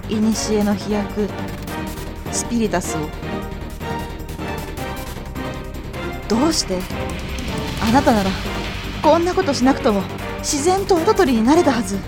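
A woman's voice: a little high, animated, moderate pace, long pauses, music in the background, natural voice. A woman playing a proud, shrewd noble elder sister in a voice drama, haughty, theatrical and formal. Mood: probing. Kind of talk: voice drama acting.

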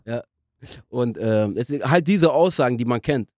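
A young man speaking casually as a podcast guest, in a halting way as he searches for words. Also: medium pitch, expressive, a little mellow, no echo, studio quality. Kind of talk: casual interview conversation.

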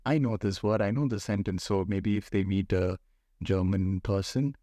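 A man's voice: fairly low pitch, normal speed, dark tone, no room sound. A young man with an Indian accent hosting a casual podcast chat, playful and teasing. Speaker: podcast host.

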